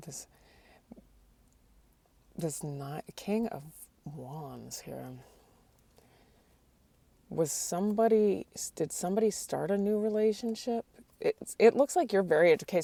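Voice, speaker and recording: A woman's voice: deep voice, vocal fry, dark tone, no room sound. A woman doing a tarot card reading, talking hesitantly and often restarting her sentences, and she is losing her voice. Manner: hesitant, restarts often, asks the cards aloud. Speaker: tarot reader.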